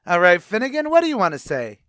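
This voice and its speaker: A man's voice: sits high, huge pitch swings, quick pace, fairly bright tone, no room sound, clean studio sound, full character voice. A man playing a boastful, wisecracking tavern manager in an old-time radio comedy, with a pompous, blustery delivery.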